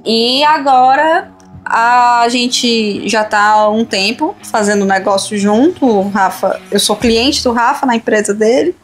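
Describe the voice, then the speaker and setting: A woman's voice: a little high, a little slow. A woman hosting a casual podcast chat in an informal, teasing manner. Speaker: podcast host. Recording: music playing behind the voice. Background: music.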